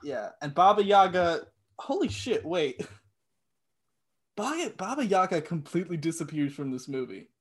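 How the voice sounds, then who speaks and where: A man's voice: fairly high pitch, sing-song, a little slow, breathy edge. A man co-hosting a casual podcast chat, blunt, sarcastic and often profane. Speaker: podcast co-host. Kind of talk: casual chat reviewing a film.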